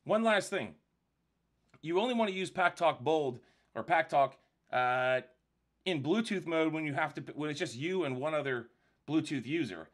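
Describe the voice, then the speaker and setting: A man's voice: a little high, animated, moderate pace, a bit bright. A man presenting a casual step-by-step product demonstration in a conversational, explanatory way.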